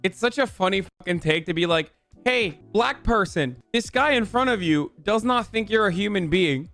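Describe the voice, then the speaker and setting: A man's voice: sits high, lively intonation, moderate pace, a bit bright, faint music behind. A man giving casual streamer commentary, ranting with plenty of profanity.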